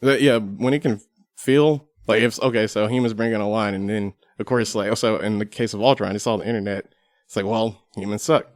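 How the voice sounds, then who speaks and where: A man's voice: fairly low pitch, fairly even intonation, brisk, dry recording, clean studio sound. A man as a podcast guest in casual discussion, explaining his distinctions step by step. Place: studio.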